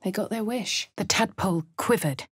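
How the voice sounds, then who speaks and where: A woman with a posh English accent speaking a game hero's lines and first-person narration in a measured way. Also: medium pitch, husky, no room sound, clean studio sound. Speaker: player character voice. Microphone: studio mic.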